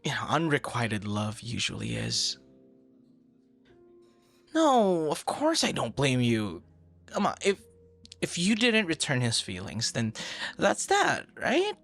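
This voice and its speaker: A man performing an ASMR roleplay as an earnest, easily flustered young stranger, talking conversationally with hesitant repeats and hushed asides. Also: medium pitch, huge pitch swings, faint music behind, full character voice. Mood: sympathetic, reassuring. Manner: hesitant, halting, soft-spoken asides, repeats words.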